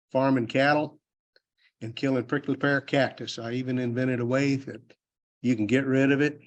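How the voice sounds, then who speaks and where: An older retired man with a Texas accent gives a plainspoken, rambling technical talk at a convention, joking about himself along the way. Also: mid-range voice. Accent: Texan. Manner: plainspoken, self-deprecating, loose and rambling. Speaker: conference speaker. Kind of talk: folksy technical presentation. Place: university convention hall.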